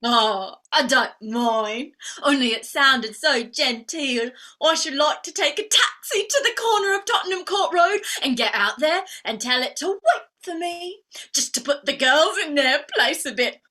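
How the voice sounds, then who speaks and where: A woman's voice: high voice, animated, unhurried, sharp tone, heavily acted. A woman playing a proud, cheeky young working-class flower girl with a Cockney accent in a staged play reading. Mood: eager, proud. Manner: cheeky, ungrammatical, indignant.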